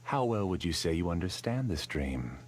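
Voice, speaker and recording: A smooth, deep-voiced villain scholar. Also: male voice, big pitch swings, moderate pace. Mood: menacing. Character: obsessive male scholar villain, calm and self-assured. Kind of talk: game voice acting.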